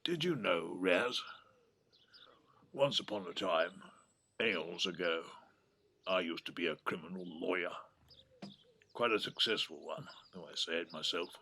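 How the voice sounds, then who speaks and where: An actor playing a wry, wistful old English grandfather in an audio drama, rambling affectionately in a gravelly, husky voice. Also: low voice, measured pace, long pauses, pushed and bright, exaggerated voice. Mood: reflective, wistful. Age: 70s or older. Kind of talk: naturalistic audio drama acting. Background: birdsong.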